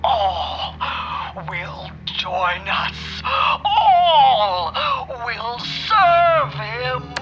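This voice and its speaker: A woman's voice: on the high side, big pitch swings, very slow, a bit bright, lo-fi sound, soft background music, heavily acted. An actress playing an infected woman possessed by a fungus, chanting and whispering eerily in a radio drama. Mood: eerie. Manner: eerie chanting, whispers and cries.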